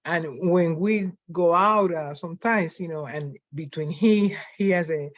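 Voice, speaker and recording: A woman's voice: fairly low pitch, measured pace, no echo. An older woman with a Spanish accent, speaking reflectively on a panel over a video call, often restarting her sentences. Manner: reflective, with frequent restarts and fillers. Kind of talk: conversational panel talk. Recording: dry, dull lo-fi call audio.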